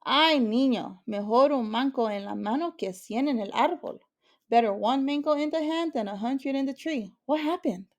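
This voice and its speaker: A woman voicing a kindly, encouraging father in a children's storybook, gently questioning and advising. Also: sits high, normal speed, pitched above the performer's own voice.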